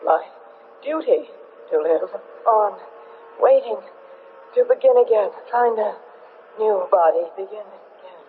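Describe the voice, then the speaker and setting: An actor playing a dying alien in a 1970s radio drama, pleading to survive in halting, broken phrases. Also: male voice, high voice. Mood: labored, urgent. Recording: muffled, noisy vintage radio recording.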